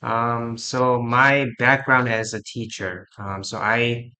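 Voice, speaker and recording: A man's voice: mid-range voice, little pitch movement, takes it slowly, sharp tone. A man in his forties from Korea, carefully introducing himself as a job candidate over a video call. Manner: careful, earnest self-introduction.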